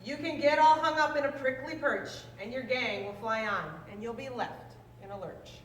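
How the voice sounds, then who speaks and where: A woman reading a children's rhyming book aloud in a lively, sing-song way. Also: high voice, normal speed. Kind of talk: read-aloud storytelling. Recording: roomy, distant mic, faint noise.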